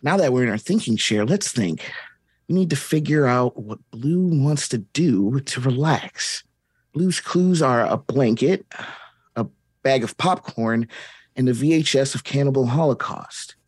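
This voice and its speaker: A bouncy young kids' show host as a man reads him with a husky edge, swinging his pitch all over as he coaxes the viewer along. The sound is dry and close. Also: animated, unhurried, a little mellow, no room sound. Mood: cheery. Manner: singsong, talking to the viewer. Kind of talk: comedic table read.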